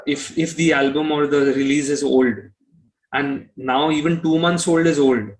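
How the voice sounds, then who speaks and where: A man's voice: a little high, steady tone, normal speed, low-quality recording. A man speaking as an interview guest, blunt and opinionated, often repeating himself. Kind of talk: conversational interview.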